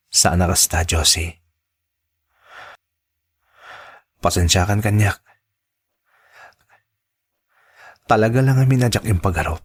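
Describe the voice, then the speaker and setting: A man with an Ilocano Filipino accent playing an earnest, lovestruck man in a radio drama, with a husky voice. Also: low voice. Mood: apologetic. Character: Donato, earnest lovestruck man confiding his troubles.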